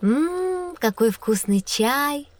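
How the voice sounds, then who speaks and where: Young schoolgirl in a children's audio play: inquisitive, teasing, high and very animated. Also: extremely slow, a little hiss or hum, exaggerated voice. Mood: pleased. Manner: expressive, girlish, questioning. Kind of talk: scripted educational audio play.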